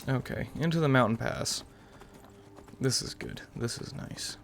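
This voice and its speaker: A man's voice: mid-range voice, unhurried, creaky, slight background noise. A young man giving casual let's-play commentary as a gaming video host, musing aloud in a rambling way over game music. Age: young adult.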